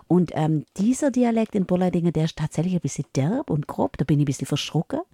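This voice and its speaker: A woman's voice: on the low side, expressive, fast, warm tone, slightly rough, no echo, studio quality. Chatty middle-aged woman with a Swabian accent. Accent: Upper Swabian. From Upper Swabia, Germany. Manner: chatty, hesitant with many fillers. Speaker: radio guest. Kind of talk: casual radio conversation.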